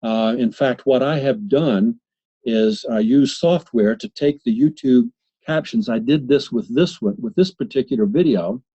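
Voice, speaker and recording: Halting man presenting a webinar demo. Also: mid-range voice, expressive, warm tone, slightly rough. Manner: halting, many fillers and restarts. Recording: slightly muffled webinar audio.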